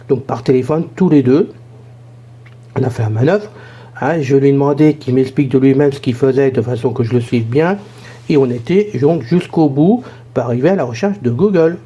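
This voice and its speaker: A hoarse man talks through a device tutorial in a loose, rambling way, full of fillers. His voice is very dark and mellow. The recording sounds dull and lo-fi. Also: mid-range voice, expressive, moderate pace. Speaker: tech tutorial presenter. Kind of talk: casual spoken tutorial.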